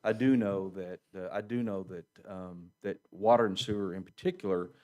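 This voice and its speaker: A man answering council questions at a city meeting, polite and hesitant, with many pauses and restarts. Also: sits low, fairly even intonation, darkish tone. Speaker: utility organization official answering council.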